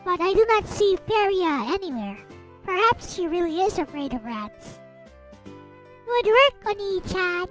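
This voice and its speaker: A woman with an extremely high, childlike voice reads a visual novel aloud for a stream. She slips between playful narration and casual asides. Light tinkly music plays behind her. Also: sing-song, normal speed, fairly bright tone. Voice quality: high, girlish voice. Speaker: streamer, host.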